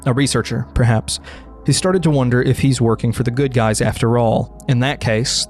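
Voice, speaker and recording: A man's voice: mid-range voice, darkish tone. Man narrating scripted horror explainer over music.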